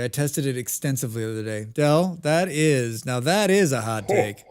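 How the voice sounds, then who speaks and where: A man's voice: a little high, a little mellow, smooth. Chatty American man streaming a game. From United States. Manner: chatty, talks to chat and answers comments. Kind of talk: casual live-stream chat while gaming.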